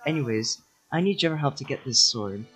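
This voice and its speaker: A man playing a flustered, impatient, foul-mouthed young sorceress in an improvised comedy fandub, rambling and halting. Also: mid-range voice, lively intonation, a bit bright, breathy edge, faint music behind, rough recording.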